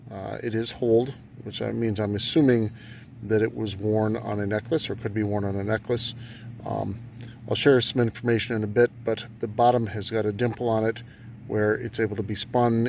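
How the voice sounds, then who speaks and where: Man, low voice, gravelly fry, halting understated delivery, casual outdoor vlog, faint background noise. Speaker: metal detecting vlogger. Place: outdoors on rural farm property.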